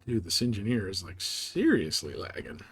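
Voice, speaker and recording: A man's voice: on the low side, huge pitch swings, moderate pace, gravelly, a bit bright, breathy edge. A man chatting casually and thinking aloud while playing on a gaming livestream, in a rambling, colloquial way. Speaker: live streamer playing a space game.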